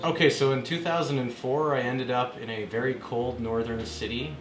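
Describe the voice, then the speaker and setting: A man in his forties from Canada, telling stories in a relaxed conversational way with plenty of fillers, as an interview guest in an apartment. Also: medium pitch, normal speed, echoey room, crowd noise. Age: 40s. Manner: relaxed storytelling with frequent fillers. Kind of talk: casual conversation. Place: apartment room.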